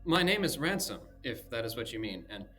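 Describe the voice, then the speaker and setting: A man's voice: medium pitch, very animated, fairly quick, pushed and bright, faint music behind. A man voicing a diffident, polite scholar who stammers and sounds unimpressive. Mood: flustered. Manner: hesitant, stammering.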